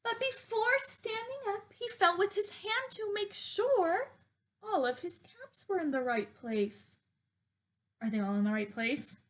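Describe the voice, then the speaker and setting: A woman teacher reading aloud to young children, expressive and exaggerating her emphasis. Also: very high voice, measured pace.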